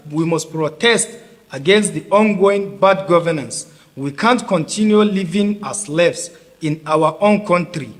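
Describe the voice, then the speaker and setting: A man's voice: fairly high pitch, long reverb tail. A man reading out a protest statement in a declamatory way. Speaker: protest organiser. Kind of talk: public statement.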